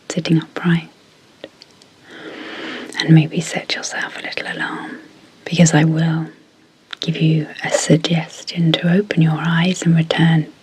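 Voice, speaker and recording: A woman speaking in a soft, breathy whisper as an ASMR sleep hypnosis guide, deliberately and in short phrases with pauses. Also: low-pitched, a little hiss or hum, poor audio. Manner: slow, deliberate, pausing between short phrases.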